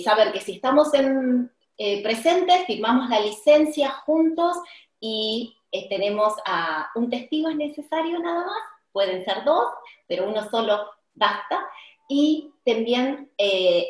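A middle-aged woman with an Argentine accent, a wedding officiant explaining her services on a video call, earnest and pausing often with "eh". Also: a little high, roomy sound, poor audio. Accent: Argentine Spanish. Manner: warm, earnest, frequent "eh" pauses. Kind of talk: promotional video call chat. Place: indoor room with tiled wall, flowers and candle. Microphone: video call webcam.

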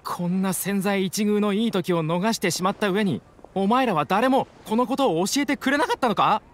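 A man playing an earnest, gruff-tempered mercenary in an anime game, blunt in manner and quick to take offense. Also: high-pitched, close to an everyday voice. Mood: indignant. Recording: faint music behind the voice.

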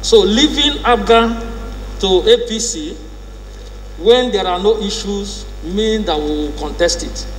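A man with a Nigerian accent raising a formal point of order in parliament, deliberately, in short broken phrases. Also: sits high. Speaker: lawmaker, minority leader. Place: large indoor legislative chamber. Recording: echoey hall with mains hum.

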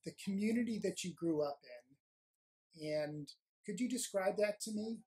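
An interviewer speaks at a middle pitch and asks short, open questions. Between answers the voice gives quiet murmurs of agreement. The pace is calm, as in an oral history recording. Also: moderate pace. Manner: brief prompts and soft backchannels.

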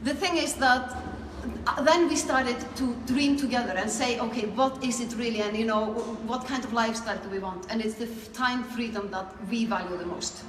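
Higher-pitched Icelandic woman in an echoey room. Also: moderate pace. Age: middle-aged. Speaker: network marketer being interviewed. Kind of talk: conversational interview, telling own story. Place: small indoor room. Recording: echoey room, clear background noise.

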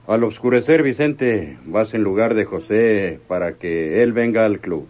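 An actor playing a domineering, impatient crime boss in a radio serial, commanding and sardonic. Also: male voice, fairly low pitch, lively intonation, unhurried, darkish tone, a little hiss or hum. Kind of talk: radionovela acting.

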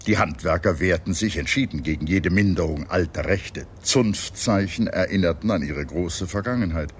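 A man reading scripted historical narration as a documentary narrator, in a measured, formal manner. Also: low-pitched, a little hiss or hum. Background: music behind the narration.

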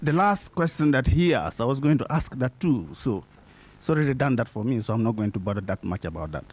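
A man in his fifties with a Ghanaian accent, commenting at a conference in an emphatic, deliberate way. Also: medium pitch, darkish tone. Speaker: conference participant. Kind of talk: spoken comment at a panel discussion. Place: indoor conference meeting room. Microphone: handheld microphone held close.

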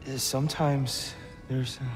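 An actor playing a broken, gentle former war god in a video game, timid and pleading. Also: male voice, medium pitch, little pitch movement, unhurried, lightly acted. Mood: hesitant, wary. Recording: game cutscene audio with music behind.

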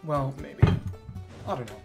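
A man's voice: medium pitch, a little slow, gravelly. Young man thinking aloud while gaming. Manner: rambling, thinking aloud to himself. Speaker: video game streamer. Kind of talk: casual gameplay commentary. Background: game music and effects.

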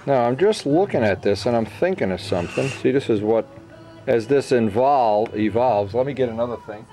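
A middle-aged man gives an informal craft demonstration at a workbench. His voice sits at an average male pitch, and he explains each step in short phrases, as if working it out aloud. Music plays behind him. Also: expressive, moderate pace, dark tone. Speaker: model airplane builder giving a tutorial. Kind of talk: informal hands-on workshop demonstration. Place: indoor workbench.

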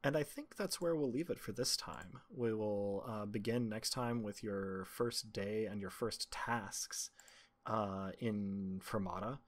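A man's voice: fairly low pitch, slow. A man narrating as game master and hosting a tabletop role-playing podcast.

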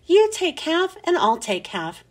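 A woman's voice: extremely high pitch, very animated, unhurried, exaggerated voice. A woman voicing a greedy, pushy schoolgirl who coaxes and makes dramatic warnings in a storybook reading. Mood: scheming.

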